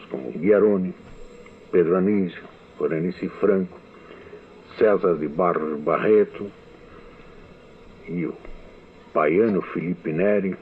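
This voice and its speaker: Older man, Brazilian Portuguese accent, deliberate memoir reading, somewhat deep voice, thin phone-line sound. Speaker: writer giving a recorded testimony. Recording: tinny phone-like archive radio recording.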